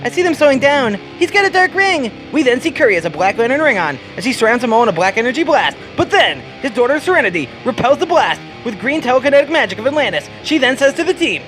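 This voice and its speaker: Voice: medium pitch, sing-song, quick pace, hardly any pauses, a bit bright, faint music behind. A narrator and host giving a scripted, dramatic reading of a comic book story.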